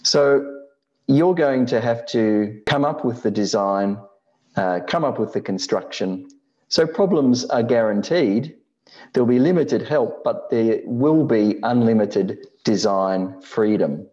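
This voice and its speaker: An Australian man in his sixties narrating a slide presentation in a measured, explanatory way. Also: mid-range voice, lively intonation, darkish tone, lo-fi sound. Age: 60s. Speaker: amateur radio presenter.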